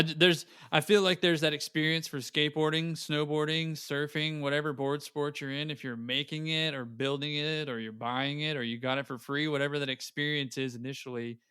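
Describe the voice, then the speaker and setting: A man's voice: fairly high pitch, pushed and bright, clear, resonant tone, no echo, studio quality. A man in his thirties hosting a podcast interview, chatty and eager, often affirming his guest. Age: 30s. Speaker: podcast host. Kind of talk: casual interview chat.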